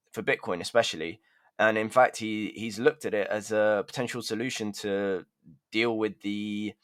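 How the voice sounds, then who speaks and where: A man with a fairly low voice chats loosely on a news podcast. He hedges his points with filler phrases as he explains things. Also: a bit bright. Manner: chatty, hedging with "sort of". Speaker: podcast co-host. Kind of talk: casual podcast discussion.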